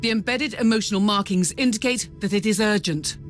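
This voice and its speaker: An actor playing a dutiful ship officer in a video game, reporting formally and deferentially to a superior. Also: male voice, high-pitched, brisk, fairly bright tone, faint music behind. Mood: urgent, dutiful. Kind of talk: video game cutscene acting.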